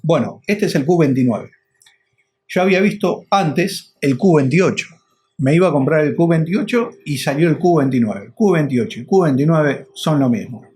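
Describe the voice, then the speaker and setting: A man's voice: fairly high pitch, animated, normal speed, darkish tone, breathy edge. A man with a Rioplatense Argentine accent, talking casually and rambling a little as he explains things as a motorcycle vlogger.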